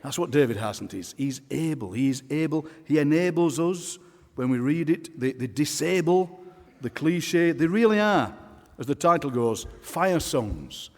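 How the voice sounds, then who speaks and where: A man presenting a poet in a live speech, speaking emphatically and deliberately, repeating key phrases for effect. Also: a little high, expressive, darkish tone. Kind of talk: live speech of praise introducing a reading. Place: on stage in a large concert hall. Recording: reverberant large concert hall.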